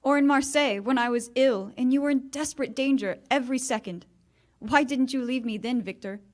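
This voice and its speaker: A woman's voice: high voice, brisk, lightly acted. An actress playing a proud, wounded married woman, restrained and earnest, in 1940s-style radio theater. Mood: earnest, firm.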